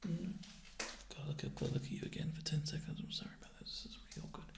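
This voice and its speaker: Man, ASMR roleplay, hushed and gravelly, hesitant, apologetic, close binaural mic, roomy sound. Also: on the high side, quick pace. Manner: awkward, hesitant, apologetic, deadpan. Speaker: ASMR roleplay performer, playing a festivity assessor. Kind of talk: soft-spoken ASMR roleplay, slipping into whispers. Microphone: binaural ASMR microphone up close.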